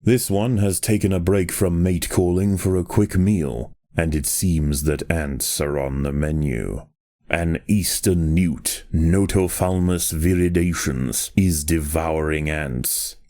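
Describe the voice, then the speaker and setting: A deep, mellow nature documentary narrator for a game. He delivers scripted lines with grave, measured drama. The sound is dry and intimate. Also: dry recording. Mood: grave, dramatic.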